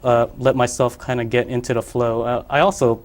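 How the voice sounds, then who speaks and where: A young man from the Philippines, a guest on a local TV studio interview. He speaks at a middle pitch, pausing often, restarting his sentences and leaning on fillers as he searches for words. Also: little pitch movement. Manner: hesitant, many fillers and restarts. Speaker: artist guest. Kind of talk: casual interview.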